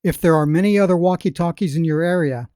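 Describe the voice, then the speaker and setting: A man narrating scripted product instructions in a deliberate, plain, step-by-step way. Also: on the high side, a little mellow, no echo, studio quality. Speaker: product demonstrator.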